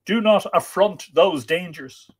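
A man's voice: mid-range voice, sing-song, unhurried, fairly bright tone. A man voicing a queenly woman in a storybook tale, pleading and warning her lover with imperious, dramatic tenderness. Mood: urgent pleading.

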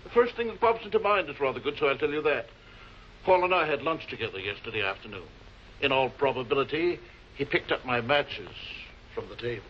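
Man acting as witty sardonic suspect, lively intonation, arch, theatrical, 1940s TV drama, muffled old film recording. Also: mid-range voice, a little fast, a little hiss or hum, lightly acted. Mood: dry, mocking. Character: suave, sarcastic male murder suspect, witty and unruffled.

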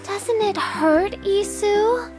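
Very high-voiced playful, scheming hostess. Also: female voice, very slow, over music, full character voice. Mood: coy, flattering. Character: playful, scheming young bunny-costumed resort hostess. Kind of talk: anime game voice acting.

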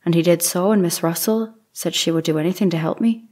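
A nineteenth-century maidservant acted by a woman testifies in an audio drama. Her voice is somewhat low and husky, and she answers simply and respectfully, in a matter-of-fact way. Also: fast talker, a little mellow. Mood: plain, matter-of-fact. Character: plain-spoken, deferential 19th-century housemaid testifying as witness. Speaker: actor playing a trial witness. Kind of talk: audio theatre courtroom reenactment.

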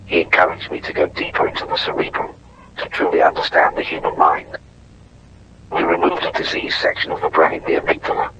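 A man acts a haunted old asylum doctor with a very deep, monotone delivery. He speaks in solemn, foreboding sentences, like dictated notes for a horror story. The old tape is muffled and heavily hissing. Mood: grim. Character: grave, haunted older asylum doctor dictating a taped confession. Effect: damaged old cassette tape. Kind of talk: scripted horror audio-log narration. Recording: muffled, very noisy damaged tape.

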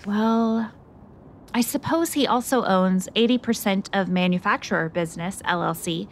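A woman's voice: medium pitch, normal speed, a little hiss or hum, natural voice. A woman playing a plucky, self-assured investigative reporter in a scripted radio drama, inquisitive and theatrical. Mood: matter-of-fact.

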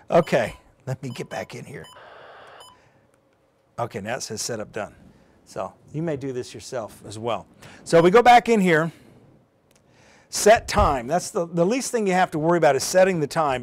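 A middle-aged man presenting weather on TV, giving an informal live how-to and thinking aloud as he goes. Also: fairly high pitch. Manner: informal, thinking aloud while handling a device. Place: TV studio. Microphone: lapel mic.

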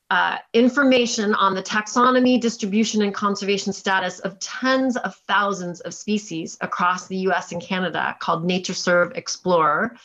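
A woman's voice: mid-range voice, a bit bright. Woman presenting, slightly dull lo-fi sound. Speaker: keynote speaker and chief scientist. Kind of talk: conference presentation with slides.

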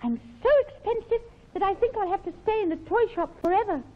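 A woman's voice: extremely high pitch, animated, quick pace. A woman voicing a chirpy childlike toy puppet in a 1950s children's show. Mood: chirpy, wistful. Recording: tinny old television soundtrack.

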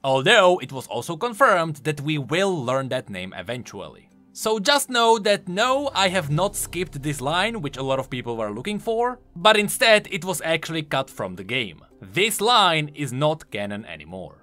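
A man narrates an explainer video in a bright, fairly high voice. His intonation is very animated, with emphatic points made like an enthusiastic gaming commentator. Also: normal speed, hardly any pauses. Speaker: video game lore YouTuber. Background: music.

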